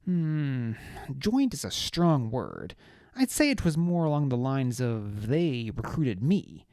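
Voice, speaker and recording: A narrator voicing a battered young man thief who answers in a dry, sardonic, low-key way. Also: very animated, takes it slowly, mellow, no room sound. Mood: wry.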